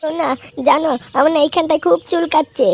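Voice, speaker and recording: A woman voicing a sly, sharp-tongued cartoon woman, coaxing and scolding in a comedy dub. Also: sits high, fairly even intonation, fast talker. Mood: coy, coaxing.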